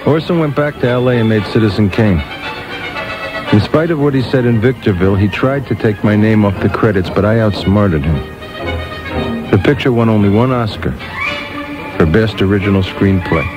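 An actor playing a middle-aged, witty, sardonic screenwriter with a wry, mocking manner in a radio play. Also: male voice, lowish voice, normal speed, lightly acted. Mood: dry, reflective. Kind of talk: radio drama dialogue and monologue. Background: music.

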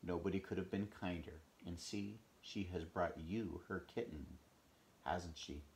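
A man voicing a gentle, reassuring country vet in a storybook reading. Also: sits low, a little slow, a little hiss or hum, voice pushed down. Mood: gentle, consoling. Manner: soft-spoken, expressive.

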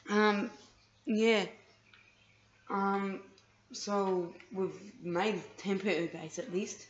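A woman's voice: medium pitch, steady tone, slow. A woman chatting while playing a game with a friend, hesitant with many ums and a bit bossy, recorded in a room off a TV. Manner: hesitant, lots of ums, bossy with her co-player. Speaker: gamer. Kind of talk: casual gaming chat. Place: room filmed off a TV screen. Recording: echoey lo-fi room recording with noise.

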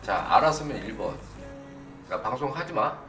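A middle-aged man giving live stock commentary as a host, blunt and informal, often putting quick questions to his viewers. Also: mid-range voice, animated, a bit bright. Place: indoor desk at a computer, livestream setup. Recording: roomy, distant mic, faint music behind.

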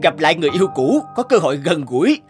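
An actor playing a jealous, hot-tempered husband in a cartoon, speaking in a sharp, accusing way. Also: male voice, fairly high pitch, big pitch swings, rapid-fire, over loud music. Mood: angry. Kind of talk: animated cartoon dubbing.

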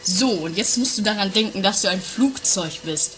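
An earnest, somewhat pompous instructor played by a woman with a mid-range voice. She gives haltingly placating directions in an improvised comic audio play, with music clearly heard behind her. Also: medium pitch, lively intonation, a bit bright, close to an everyday voice. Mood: solemn, instructing.

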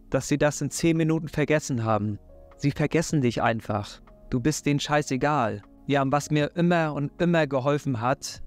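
A man in his thirties from Hamburg with a Hamburg German accent, giving an earnest, encouraging faith talk straight to camera in a living room. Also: fairly high pitch. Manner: earnest, encouraging, direct address. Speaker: faith and self-help YouTuber. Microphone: desk microphone in front.

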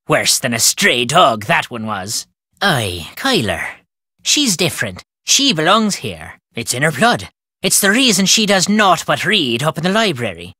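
An actor playing a crotchety, superstitious old Irish caretaker in a video game, telling tales in a folksy, ominous, emphatic way. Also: male voice, fairly high pitch, pushed and bright, husky, no echo, studio quality, full character voice. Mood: grumbling, opinionated. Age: older. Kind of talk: theatrical storytelling game dialogue.

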